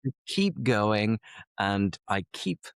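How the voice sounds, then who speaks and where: A man's voice: on the low side, sing-song, slow, gravelly, clear, resonant tone, dry recording. A British man hosting an English-learning podcast, explaining idioms in an easygoing way while a little congested from a cold. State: slightly congested with a mild cold. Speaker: podcast host, English teacher. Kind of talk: casual conversational podcast.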